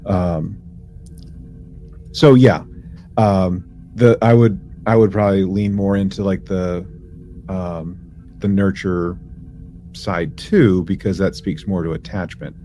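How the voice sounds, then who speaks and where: A man co-hosting a podcast, explaining things in a halting, informal, slangy way. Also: low voice, fairly even intonation, mellow, slight background noise. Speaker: podcast co-host. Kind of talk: casual explanatory podcast chat.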